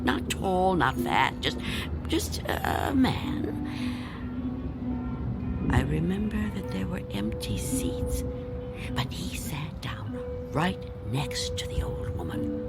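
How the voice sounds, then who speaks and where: Loud music plays under a low-voiced, gravelly old woman in a radio drama. She is a fearful recluse, halting yet insistent. Her delivery swings dramatically in pitch. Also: heavily acted. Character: frightened reclusive old woman hiding from Death.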